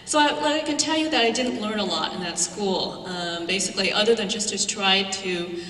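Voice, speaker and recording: Lady professor telling her story at a talk, bright voice, far off in a big echoey hall. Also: medium pitch, moderate pace, slight background noise. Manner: personal, conversational storytelling with fillers. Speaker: guest speaker, professor. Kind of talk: symposium lecture. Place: large lecture hall.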